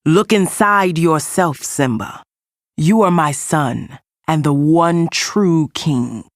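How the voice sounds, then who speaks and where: A woman's voice: sits low, slow pace, no room sound, clean studio sound. A woman voicing a solemn, majestic fatherly lion king's spirit in a children's storybook. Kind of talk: storybook character voice.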